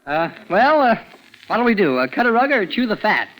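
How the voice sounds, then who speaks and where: A man's voice: high voice, big pitch swings, moderate pace, fairly bright tone, rough recording. A young man in a 1940s radio drama playing an awkward, eager-to-please teenage boy, stammering and hesitant. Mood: uneasy, placating. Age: young adult. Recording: 1940s radio broadcast with music.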